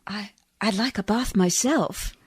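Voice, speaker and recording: A woman's voice: medium pitch, measured pace, husky. An actress playing a prim, proper, easily flustered lady in a radio play, formal and a little hesitant. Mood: prim, reserved. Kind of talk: old-time radio play acting.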